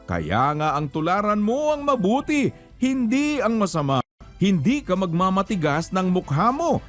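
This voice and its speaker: Male preacher, lively melodic delivery, admonishing and emphatic, music underneath. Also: high voice, a little slow. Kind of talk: religious exhortation with rhetorical questions and scripture quotes.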